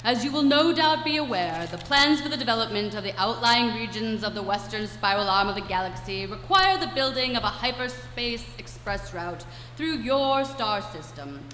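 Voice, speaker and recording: A woman's voice: on the high side, animated, normal speed, few pauses, pushed and bright. A woman in a live radio play playing an officious, impatient alien commander making pompous, exasperated announcements. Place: live performance in a hall. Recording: echoey hall, faint noise.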